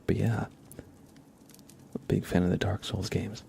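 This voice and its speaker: A man hosting a casual ASMR livestream, chatting in a soft, breathy, hushed whisper with an unhurried, rambling delivery. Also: low-pitched, level tone, slight background noise.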